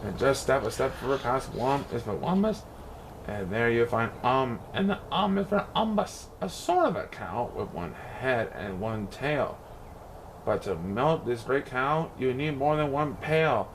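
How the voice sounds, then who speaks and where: Male storybook reader, playful and theatrical, very lively pitch, faint noise in the recording. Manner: theatrical, playful rhyming delivery with exaggerated emphasis. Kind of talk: expressive children's picture-book read-aloud.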